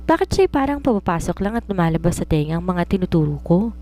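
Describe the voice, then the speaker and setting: A woman's voice: lowish voice, sing-song, quick pace, warm tone. A woman voicing a hot-tempered young woman writer in a story, scolding and exclaiming in exasperation. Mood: exasperated.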